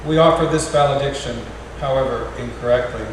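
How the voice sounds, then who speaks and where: Middle-aged man reading in an echoey hall. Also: medium pitch. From United States. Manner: gentle, wry, measured reading with pauses. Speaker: parish artist in residence reading a poem. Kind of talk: poetry reading at a farewell gathering. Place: large echoey parish hall. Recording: echoey hall from far off, noisy.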